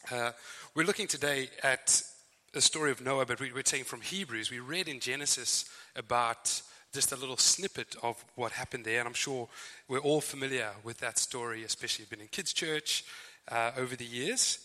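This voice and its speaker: A man's voice: mid-range voice, normal speed, bright, forceful tone. A man with a Zimbabwean accent, preaching a sermon as a visiting speaker in a friendly, chatty way with fillers and asides. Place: church hall. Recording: church hall reverb.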